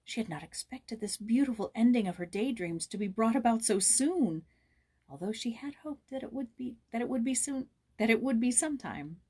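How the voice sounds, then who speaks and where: A woman's voice: on the high side, animated, normal speed, darkish tone, slightly rough. A woman hosting a read-aloud, narrating a children's novel. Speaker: storybook reader and host.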